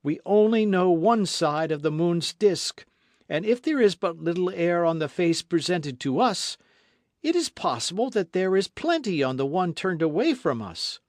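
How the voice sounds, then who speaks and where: A bold, playful adventurer, put on by a male audiobook reader speaks in a high, loud, sing-song voice. He sounds supremely confident, almost teasing. The sound is dry and close. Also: sing-song, unhurried, no echo. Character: bold, witty adult Frenchman adventurer. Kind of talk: audiobook character reading.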